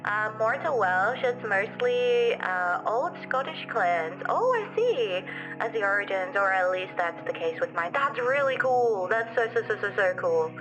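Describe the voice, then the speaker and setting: A woman's voice: mid-range voice, expressive, a little slow, dull audio, over music. A young woman with a mild city Australian accent, chatting casually and rambling with lots of fillers as she answers her livestream viewers. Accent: softened city Australian. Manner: rambling, giggly, many fillers. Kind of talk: casual chat reading viewer comments.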